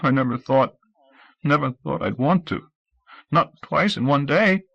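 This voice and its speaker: A man's voice: mid-range voice, expressive, moderate pace, fairly bright tone, lo-fi sound, voice pushed up, natural voice. A man reading aloud, voicing an earnest teenage boy athlete who rambles and later cries. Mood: grief-stricken.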